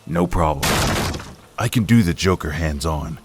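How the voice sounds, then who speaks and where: A man playing a hard-bitten, vengeful vigilante in a comic book dub, speaking in clipped, grim phrases with a low, raspy voice. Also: lively intonation, a little slow, over loud music. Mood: grim focus. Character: hard-bitten, vengeful male gun-toting vigilante, grimly determined. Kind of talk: comic book dub acting with inner monologue.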